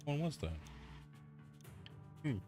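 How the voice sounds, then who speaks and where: A man's voice: takes it slowly, over music. Laid-back rambling male game streamer. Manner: rambling, laid-back, heavy use of "like" and "dude". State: sunburnt and itchy. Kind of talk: casual gameplay commentary.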